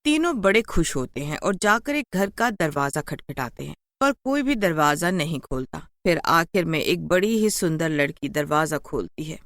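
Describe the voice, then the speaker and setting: A woman's voice: low voice, big pitch swings, normal speed, hardly any pauses, a bit bright, no room sound, studio quality. A woman narrating a scripted horror story as a storyteller.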